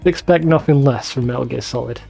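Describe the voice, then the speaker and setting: A man's voice: mid-range voice, brisk, vocal fry, a little mellow, faint music behind. A man hosting a let's play, giving casual game commentary with wry, sweary asides.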